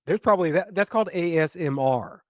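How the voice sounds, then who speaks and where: A man's voice: high-pitched, animated, a little fast, darkish tone, dry recording, studio quality. A man co-hosting a casual comedy podcast, teasing and wry, often restarting his sentences. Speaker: podcast co-host.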